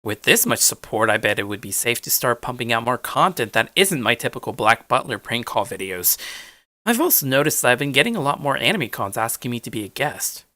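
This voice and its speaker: A man's voice: mid-range voice, very animated, fairly quick, pushed and bright, no room sound. A man narrating a first-person story in a casual, conversational way, dropping wry asides as he goes. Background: background music.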